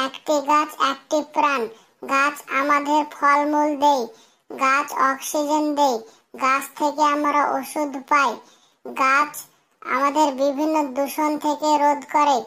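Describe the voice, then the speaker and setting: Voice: high voice, little pitch movement, measured pace, sharp tone, heavily acted. A performer voicing an earnest cartoon schoolboy who speaks like a pupil reciting memorized lessons. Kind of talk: cartoon comedy dialogue.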